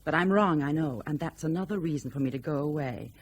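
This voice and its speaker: An actress playing a proud, guarded professional woman, brisk and earnest, in a radio drama. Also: deep voice, a little hiss or hum, lightly acted. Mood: defensive, earnest.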